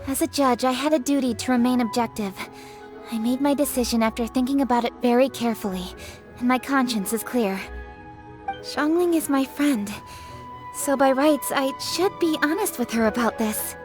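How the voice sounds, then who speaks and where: Woman voicing a proper stiff young lady official in a game, high voice, bit of music. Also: moderate pace, natural voice. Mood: troubled. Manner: stiff, precise, formal diction. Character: formal, dutiful young noblewoman official, earnest and awkward. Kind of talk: video game voice acting.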